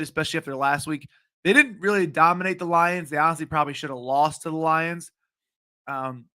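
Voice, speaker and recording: Late 20s dude, kinda hoarse, bright forceful voice, rambles thru nfl picks, dead dry room. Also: male voice, fairly high pitch, no echo. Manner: casual, rambling, many ums. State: slightly hoarse voice. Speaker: sports betting show host. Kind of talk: live-streamed betting picks talk.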